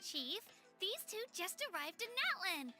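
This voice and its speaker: Very high, girlish young adventurer. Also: sing-song, unhurried, pushed and bright, exaggerated voice. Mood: excited. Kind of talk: video game voice acting. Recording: music behind the voice.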